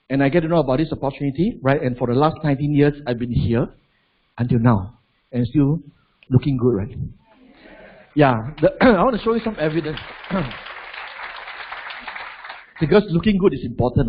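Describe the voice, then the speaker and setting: A husky man in his forties with a Malaysian English accent speaks to a seminar audience. He keeps it conversational and drops in "lah" and "right?" as he goes. The recording sounds dull and lo-fi, like a stage mic in a hall. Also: fairly high pitch. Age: 40s. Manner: chatty, asks the crowd rhetorical questions, adds "lah". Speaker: motivational business speaker. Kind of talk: live seminar talk to an audience.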